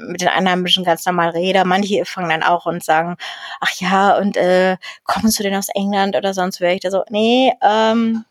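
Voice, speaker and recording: A woman's voice: on the low side, little pitch movement, normal speed, no echo, clean studio sound. A young German woman talking casually as an interview guest, rambling with many fillers and restarts and laughing often.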